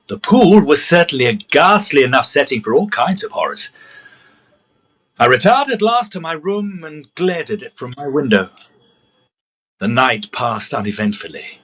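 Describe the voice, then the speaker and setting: A pompous, sceptical gentleman investigator, acted by a man, narrating a period audio drama. His voice is fairly high and husky, with very animated pitch and a dry, commanding manner. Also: exaggerated voice.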